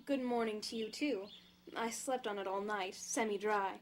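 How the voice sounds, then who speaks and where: A woman's voice: mid-range voice, normal speed, natural voice. A woman playing a teasing, easygoing young houseguest in an audio drama, with a playful, conversational manner. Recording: clear background noise.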